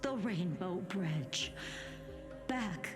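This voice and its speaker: An actress playing a solemn woman narrator who recounts an ancient heroic legend in a grand, measured way. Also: on the low side, lively intonation. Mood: solemn, epic. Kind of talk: scripted dramatic narration. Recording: long reverb under loud game music.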